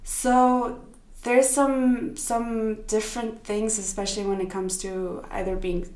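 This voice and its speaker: A woman's voice: on the high side, little pitch movement, slow pace, darkish tone, echoey room, poor audio. A young woman from Sweden, a podcast guest, speaking thoughtfully with many fillers and restarts. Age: young adult. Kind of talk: casual interview.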